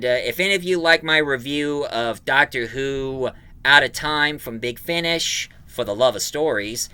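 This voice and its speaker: A man's voice: on the high side, sharp tone, clean tone, dry recording. A man hosting a casual video review, talking in an enthusiastic, rambling fan's way. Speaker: YouTube reviewer and host.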